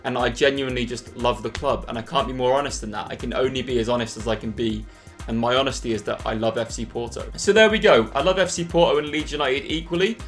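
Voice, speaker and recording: A young man from England talks straight to camera in a quick, earnest, candid rush. His voice sits at a middle pitch, with light music playing quietly under it. The tone is a sincere, open vlog. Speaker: football vlogger.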